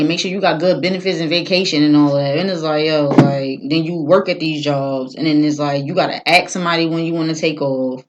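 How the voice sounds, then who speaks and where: A woman's voice: low-pitched, a little hiss or hum. A young woman co-hosting a podcast, talking casually and often checking in with her listeners. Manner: conversational, often checks in with "you feel what I'm saying". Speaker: podcast co-host. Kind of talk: casual chat.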